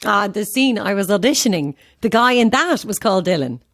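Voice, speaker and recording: A woman playing a chatty, eager actress, flippant and quick to apologise, in a naturalistic audio horror drama. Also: medium pitch, lively intonation, fairly bright tone. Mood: curious, uneasy. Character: chatty, eager young actress, impressed but uneasy. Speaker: actor.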